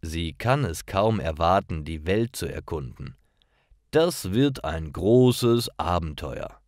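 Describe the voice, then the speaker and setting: A man's voice: sits low, very animated, takes it slowly, a little mellow, dry recording, clean studio sound. A man reads simple stories for language learners in a carefully articulated, scripted narration. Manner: simple, carefully articulated read-aloud delivery.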